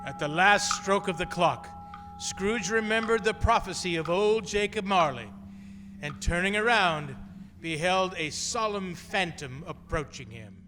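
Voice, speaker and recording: High, animated male radio announcer. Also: a little slow. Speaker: announcer and narrator. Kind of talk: scripted radio announcing and narration. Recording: reverberant hall with music.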